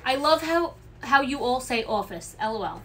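A woman's voice: high-pitched, expressive, moderate pace, a bit bright, slight background noise. A woman with an Italian-American Bronx accent, chatting casually while packing orders on a livestream and reading out comments. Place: small business office.

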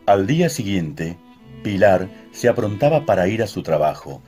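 A man's voice: deep voice, sing-song, moderate pace. A man narrating a radio soap opera from a script. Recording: loud music under narration.

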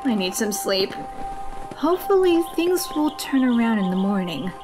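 Woman as an anxious high-voiced young pony. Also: very animated, normal speed, over music. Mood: troubled. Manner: hesitant, earnest. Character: anxious, earnest young female cartoon pony. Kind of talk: animated cartoon voice acting.

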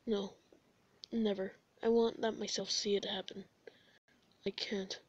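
A low-key, gloomy skeleton brother, acted by a woman, drags through his words slowly. He is hesitant and sighing, pitched down into a muted mumble, on a dull, dry recording. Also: flat delivery, husky, no echo. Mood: anguished, haunted.

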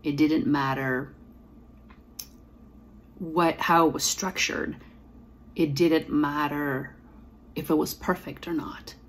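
A woman's voice: low voice, steady tone, takes it slowly, long pauses. A woman in her forties with a slight lisp, reflectively telling a personal story to camera from home. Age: 40s. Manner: reflective, earnest, with fillers and restarts. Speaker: poet and author. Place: small room at home, indoors. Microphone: device camera at close framing.

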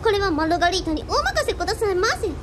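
A woman's voice: very high voice, sing-song, fast talker, heavy background noise. A woman playing a precocious little genius girl in a radio drama, talking in exaggeratedly polite, quaint, old-fashioned phrases. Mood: confident. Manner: exaggeratedly polite, archaic formal speech.

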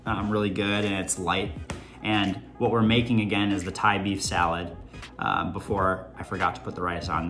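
A man's voice: lowish voice, fairly bright tone. Chatty young man cooking at home. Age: young adult. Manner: chatty, rambling, playful asides. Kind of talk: casual cooking vlog chat. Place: home kitchen, indoors. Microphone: camera on the counter, moving around the kitchen. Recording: clear background music under the voice.

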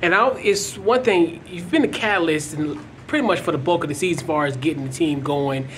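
Halting man asking post-game questions. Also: on the high side, fairly bright tone, slight background noise. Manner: rambling, halting questions with many fillers. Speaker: interviewer.

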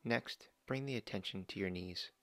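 Male meditation guide, low gravelly voice, dry close mic, slow calm instructions. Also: dry recording. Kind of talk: guided body scan meditation.

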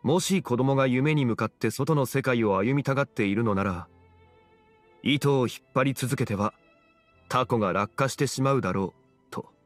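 A man plays a wise, courteous gentleman from a video game. He gives counsel in a composed, formal, measured manner, at a middling pitch, over faint music. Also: lightly acted. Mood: calm, advising. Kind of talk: video game dialogue acting. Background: soft background music.

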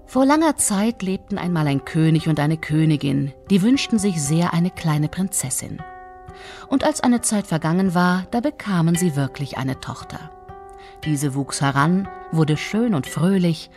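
Low-pitched woman narrating a fairy tale. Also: lively intonation, measured pace, a little mellow, faint music behind. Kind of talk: scripted storybook narration.

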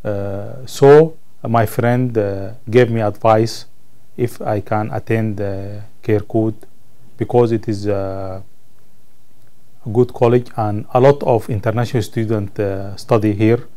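A man in his thirties from Sudan, a native Arabic speaker with a Sudanese Arabic accent, answering interview questions as a student in halting English, searching for words. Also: fairly low pitch, steady tone, warm tone. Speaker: college ESL student being interviewed. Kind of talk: interview answers about own life. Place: indoor room, seated on camera.

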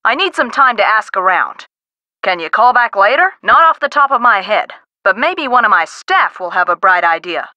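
An actress voicing a businesslike, wry middle-aged woman director in a video game, brisk and matter-of-fact. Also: medium pitch, big pitch swings, bright, forceful tone, dry recording, highs cut off. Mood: helpful.

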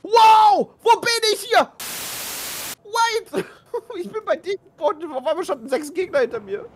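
A man's voice: extremely high pitch, expressive, unhurried. A man gamer in casual game chat, teasing and goofing around with frequent exclamations. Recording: faint background noise.

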